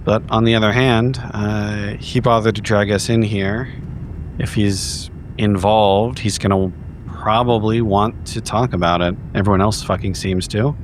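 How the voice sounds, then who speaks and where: A man with a fairly low voice plays a tabletop roleplaying game. He improvises dialogue and table talk in a conversational, sometimes profane manner, with faint noise in the background. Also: fairly even intonation, a little slow.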